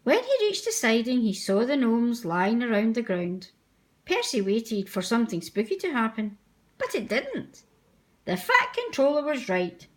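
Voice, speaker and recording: A woman's voice: fairly high pitch, huge pitch swings, a little slow. A woman narrating a children's storybook aloud. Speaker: storybook reader.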